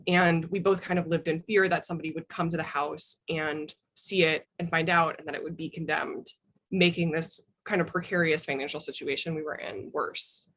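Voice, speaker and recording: A young American woman answers as a podcast guest, recounting personal experience. Her voice sits on the low side for a woman. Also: fairly even intonation, normal speed, a bit bright. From Minnesota, United States. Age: young adult.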